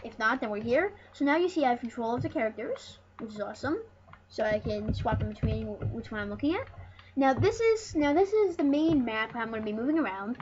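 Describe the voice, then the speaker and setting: An excitable, rambling host giving casual, jokey commentary over a video game. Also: mid-range voice, a little fast. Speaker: host of a gaming channel. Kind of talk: casual let's play commentary. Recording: dull lo-fi mic with loud noise.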